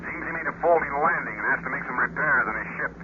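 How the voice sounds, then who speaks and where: An actor playing a gruff, exasperated military colonel in a 1950s radio drama, brusque and authoritative. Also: male voice, mid-range voice, quick pace, close to an everyday voice. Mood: matter-of-fact. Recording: dull, hissy 1950s radio recording.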